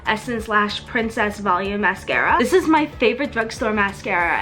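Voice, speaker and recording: A woman's voice: medium pitch, fairly bright tone. A young woman giving a casual makeup tutorial, chatty and upbeat as she talks through each step, recorded outdoors. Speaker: beauty vlogger. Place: outdoors with wind and traffic.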